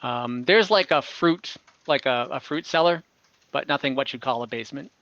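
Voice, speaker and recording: A man acting as game master, describing scenes conversationally and haltingly, often rephrasing as he improvises. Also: mid-range voice, fairly bright tone, no room sound, highs cut off. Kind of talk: improvised narration of an online tabletop game.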